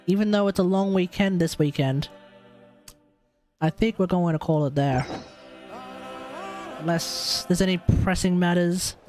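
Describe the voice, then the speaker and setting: A male game streamer with a high voice talks casually to viewers. He rambles and gropes for words, swearing offhandedly. Music plays behind him. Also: unhurried, long pauses, darkish tone. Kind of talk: casual livestream chat.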